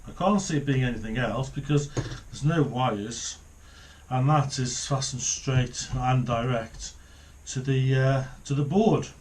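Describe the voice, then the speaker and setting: A middle-aged man talks through a hands-on job at an ordinary, medium pitch. His delivery is casual and unscripted, sometimes muttered to himself. The indoor recording has faint noise and small clicks. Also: unhurried, darkish tone. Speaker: hobbyist presenter of a radio repair video. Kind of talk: casual hands-on demonstration commentary. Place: small home office, indoors.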